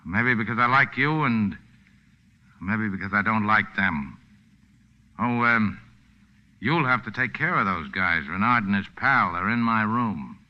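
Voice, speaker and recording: An actor in a 1940s radio drama playing a tough, sardonic boat captain with a clipped, commanding, deadpan delivery. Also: male voice, fairly low pitch, unhurried, pauses often. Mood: wry, casual. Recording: muffled old radio broadcast recording.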